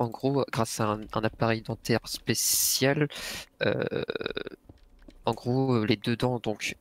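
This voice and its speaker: A young man in a gaming voice chat, explaining at length with many fillers and pauses. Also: medium pitch, a bit bright. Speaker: friend in voice chat. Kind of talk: casual voice chat.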